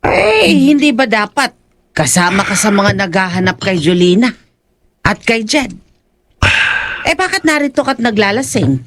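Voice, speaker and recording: A woman as a fretful, lively-voiced village woman. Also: medium pitch, measured pace, long pauses, exaggerated voice. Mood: concerned. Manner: fretful, exclamatory. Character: worried, caring village woman, anxious and easily startled. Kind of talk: audio drama acting.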